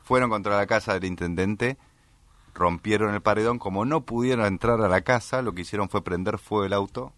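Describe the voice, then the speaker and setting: A man with an Argentine accent explaining news in a casual panel discussion, haltingly and often correcting himself. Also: lowish voice, a bit bright, no echo. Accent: Argentine Spanish.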